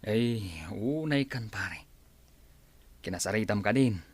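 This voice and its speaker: A man's voice: fairly low pitch, takes it slowly, no echo. An actor playing a frank young man who chides and advises his friend in a radio drama. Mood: chiding.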